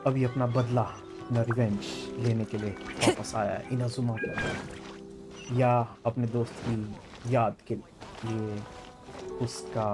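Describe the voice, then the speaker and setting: An Indian-accented man, native in Hindi, streaming a video game with very rapid commentary. His pitch is medium and he talks casually over loud game music. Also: wildly swooping pitch. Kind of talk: casual live game commentary and reading dialogue aloud.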